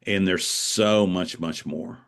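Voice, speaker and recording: A man with a deep, low voice talks in a reflective interview style. He is earnest and halting, circling back to repeat phrases as he tells his stories. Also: fairly even intonation, clean tone. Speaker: author being interviewed. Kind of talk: reflective conversational storytelling.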